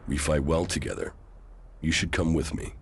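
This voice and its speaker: An actor playing a stoic, terse ex-commander in a video game, with a gravelly, deliberate delivery. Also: male voice, extremely low pitch, steady tone, loud music behind the voice. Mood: stern, determined. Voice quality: gravelly, creaky. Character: stoic deep-voiced male ex-commander, deserter turned war fighter. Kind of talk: dramatic game dialogue.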